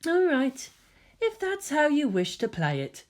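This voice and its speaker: A woman voicing a coy, androgynous hybrid youth with genteel, gently teasing politeness and quiet menace. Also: high-pitched, wildly swooping pitch, unhurried, voice pushed up, heavily acted. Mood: polite, calculating.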